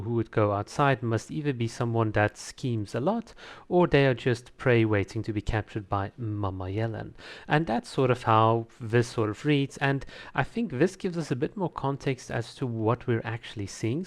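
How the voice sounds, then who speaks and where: A man with a mid-pitched voice presents a trailer review as a casual host. He is conversational and opinionated, and he talks with easy enthusiasm. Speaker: host of a video channel reviewing game trailers. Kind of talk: casual reaction commentary.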